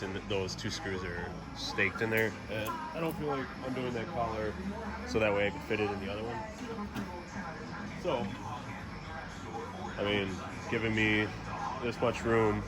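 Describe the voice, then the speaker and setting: A man talking casually and thinking aloud as he narrates a hands-on DIY mechanical job in an informal way. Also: on the low side.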